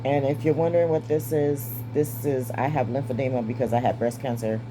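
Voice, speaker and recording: A woman in her fifties with a raspy, strained voice and short breath, giving a candid personal update. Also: a bass voice, steady tone, brisk, noisy background. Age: 50s. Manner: candid, wry, stays positive. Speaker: vlogger. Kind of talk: casual personal update to camera.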